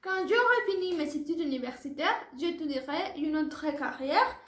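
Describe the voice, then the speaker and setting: A woman's voice: high-pitched, lively intonation, moderate pace. A woman in her early twenties with a Mexican accent, a native Spanish speaker giving a careful, formal prepared oral presentation as a language student. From Puebla, Mexico. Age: early 20s. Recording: echoey room sound.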